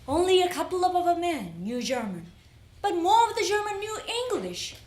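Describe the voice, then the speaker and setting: A woman recites in a declamatory readers theater style, phrase by measured phrase. Her voice is high, and the room gives it a noticeable echo. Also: very animated, a little mellow, a little hiss or hum. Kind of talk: dramatic recitation, acting out dialogue. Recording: roomy echo.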